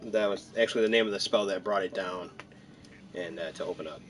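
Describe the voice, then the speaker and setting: A man's voice: low-pitched, a little fast, slight background noise. A man giving casual gameplay commentary, rambling and joking as he thinks aloud. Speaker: gamer commenting on a playthrough.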